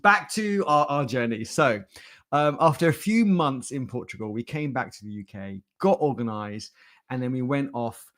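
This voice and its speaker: A man's voice: medium pitch, lively intonation, moderate pace, fairly bright tone. A man giving a conversational talk to a livestream audience in a jokey, rambling, informal way. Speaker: conference speaker.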